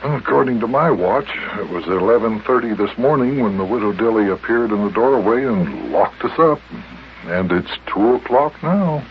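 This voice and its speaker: A man's voice: on the low side, lively intonation, moderate pace, a little hiss or hum. Vintage radio storyteller man, dull old recording. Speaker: host and narrator. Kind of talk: old-time radio children's serial narration.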